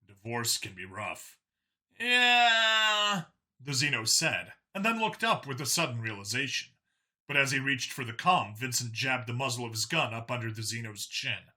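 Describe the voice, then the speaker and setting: A man's voice: mid-range voice, expressive, a little fast, bright, forceful tone. A man reading scripted science fiction narration as an audiobook narrator.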